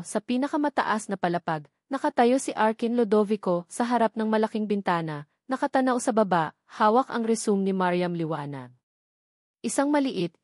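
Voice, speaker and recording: A woman's voice: mid-range voice, darkish tone, no echo. Filipino woman narrating a story, dry sound. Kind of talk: scripted dramatic storytelling narration.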